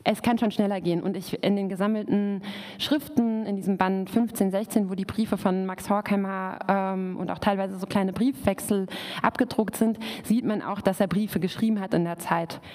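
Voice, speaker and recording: A woman's voice: medium pitch, steady tone, moderate pace, mellow. A young woman whose first language is Russian, giving a talk and answering in discussion, thoughtful with many fillers and restarts. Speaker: lecturer. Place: dark event hall with stage. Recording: long hall reverb.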